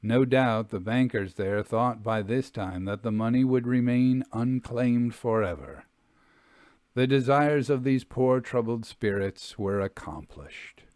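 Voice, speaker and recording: A man hosting a story podcast, speaking in a measured, deliberate way as he reads and comments on literary tales. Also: medium pitch, a little mellow, no echo. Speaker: host and story reader.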